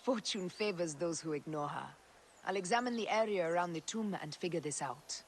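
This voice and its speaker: A woman's voice: on the low side, animated, a little fast, close to an everyday voice. An actress playing a determined, self-assured agent of a queen, firm and resolute, in a game cutscene. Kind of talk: dramatic video game cutscene acting. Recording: reverberant space, faint background noise.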